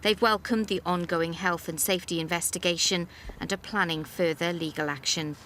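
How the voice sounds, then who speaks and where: Woman reporter, slightly low voice, scripted news voiceover, bit of background noise. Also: fairly even intonation, moderate pace, a bit bright.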